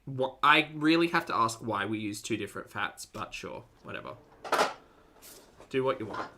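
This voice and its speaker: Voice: medium pitch, expressive, normal speed, heavy background noise. A person giving casual reaction commentary as a video host, with an exasperated, sarcastic way of critiquing what they watch.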